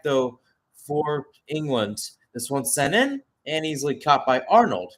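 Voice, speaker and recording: A man giving live radio-style football commentary as a play-by-play caller, mixing match calls with chatty, conversational asides. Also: medium pitch.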